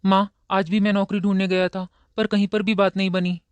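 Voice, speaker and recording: A man voicing a downcast young husband in a cartoon, speaking in a quiet, subdued way. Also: sits high, little pitch movement, fairly quick, clear, resonant tone, dry recording, close to an everyday voice.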